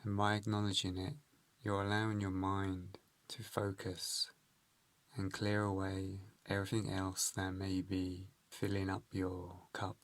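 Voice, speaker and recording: A man with a low voice guides a meditation in short, measured phrases. He speaks very slowly and gently, leaving pauses between instructions. The sound is dry and close, with no room around it. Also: completely flat delivery, no room sound.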